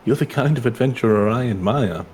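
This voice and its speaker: A man's voice: medium pitch, a little fast, a little mellow, a little hiss or hum, close to an everyday voice. A man voicing an earnest, grateful younger brother from a fantasy game. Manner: earnest, eager. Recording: music in the background.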